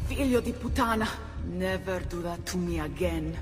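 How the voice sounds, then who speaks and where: A woman's voice: on the low side, moderate pace, lightly acted. An actress playing a bold, sardonic Italian noblewoman warrior with an Italian accent, fiery and commanding in a video game drama. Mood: furious. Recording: loud music over the voice.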